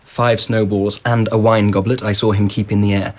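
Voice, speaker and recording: An actor playing a curious, indulgent emperor who tells stories with relish. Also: male voice, lowish voice, flat delivery, moderate pace, a little mellow, natural voice. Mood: enthusiastic. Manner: expansive, enthusiastic anecdote-telling. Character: curious, indulgent male emperor of old Japan. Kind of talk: BBC radio drama acting.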